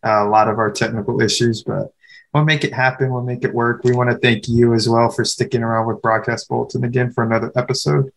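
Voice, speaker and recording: A man hosting an interview podcast, asking short, steady questions and signing off the show. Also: mid-range voice, quick pace, gravelly, slight background noise, poor audio. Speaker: podcast host.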